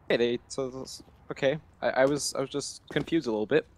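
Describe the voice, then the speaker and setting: A man's voice: mid-range voice, fairly quick. A man casting an esports match live, chatty and thinking aloud as he corrects himself. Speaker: esports caster.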